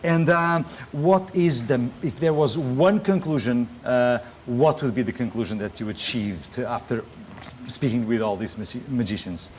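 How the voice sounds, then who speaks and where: Portuguese TV host, hesitant and bilingual. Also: male voice, mid-range voice, unhurried, a little hiss or hum. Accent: European Portuguese. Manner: hesitant, many fillers, switches between Portuguese and English. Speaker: TV show host. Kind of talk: hosting and translating on a TV talk show. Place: TV studio with audience.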